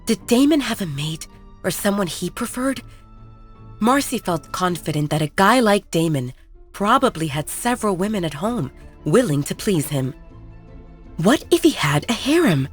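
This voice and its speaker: A woman narrating a werewolf romance in measured, scripted storytelling. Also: medium pitch, very animated, breathy edge, faint music behind.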